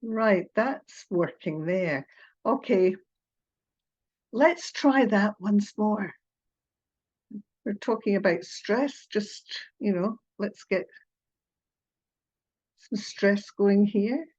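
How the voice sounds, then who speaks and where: Slow, hesitant Scottish woman on a video call. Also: medium pitch, a little mellow. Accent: Scottish, partly Australian. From Scotland. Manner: hesitant, apologetic, with filler sounds and little laughs. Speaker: therapist presenting a webinar. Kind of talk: online presentation. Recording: muffled video call audio.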